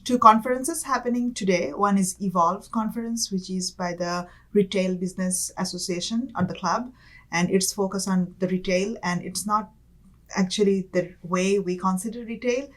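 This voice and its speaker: A young woman from Bangladesh, a guest in an interview, talking casually with many fillers and soft laughs. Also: mid-range voice, moderate pace. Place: campus studio.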